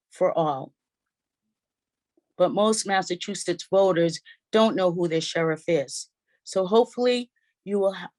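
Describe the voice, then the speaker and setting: A woman moderating a public forum, reading prepared opening remarks in a measured way with small slips, over a video call. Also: on the low side. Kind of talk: formal scripted welcome.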